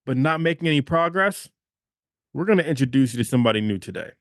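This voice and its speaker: A man's voice: medium pitch, animated, quick pace, dry recording, clean studio sound. A man hosting a motivational podcast, talking emphatically, repeating phrases and checking in with "okay?". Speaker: podcast host.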